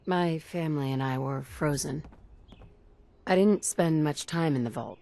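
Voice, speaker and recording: Woman acting a wary, lowish-voiced survivor. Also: unhurried, warm tone. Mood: reluctant. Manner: wary, clipped, to the point. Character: guarded, terse female vault survivor and wasteland adventurer. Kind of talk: video game protagonist dialogue.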